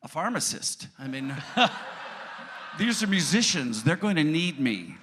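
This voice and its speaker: A man with a bright, forceful voice tells a self-mocking anecdote to a room on a cruise ship. He talks into a handheld mic and chuckles along with his own story. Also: fairly high pitch, very animated, takes it slowly, slight background noise. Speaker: band member, lead singer. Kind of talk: storytelling on a panel. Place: cruise ship event room before an audience. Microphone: handheld microphone.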